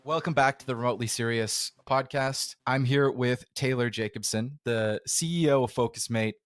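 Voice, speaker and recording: Podcast host: mid-pitched man, curious and a bit rambling, dry close recording. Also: normal speed, no room sound. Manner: curious, rambling questions with restarts. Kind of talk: podcast intro and interview.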